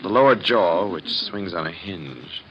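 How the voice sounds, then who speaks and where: A man's voice: low-pitched, animated, unhurried, a crowd in the background. A man acting in a vintage radio drama as a grave man explaining a grim tragedy. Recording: dull, crackly vintage radio recording.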